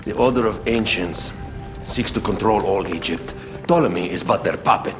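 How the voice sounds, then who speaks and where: A man performs a solemn conspirator and royal adviser in a game cutscene. His voice sits low and moves in measured, dramatic phrases. Music runs clearly behind him. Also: in a large hall, natural voice. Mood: grave, patient. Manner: grave, measured, dramatic exposition. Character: solemn male conspirator advising an exiled Egyptian queen. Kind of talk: scripted video game cutscene acting.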